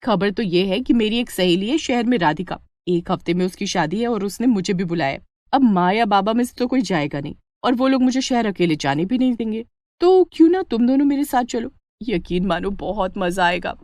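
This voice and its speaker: A woman voicing a lively, food-loving young village woman, chatty and eager, in a storybook tale. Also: mid-range voice, brisk, no room sound, clean studio sound, natural voice. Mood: playful, excited.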